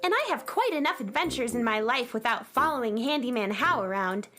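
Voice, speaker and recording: A very high, swooping girl's voice, full of indignant pluck and earnest emphasis. She is a young space adventurer in a children's radio drama, with music running behind her. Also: animated, brisk, a bit bright. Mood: firm, indignant. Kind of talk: children's radio theater acting.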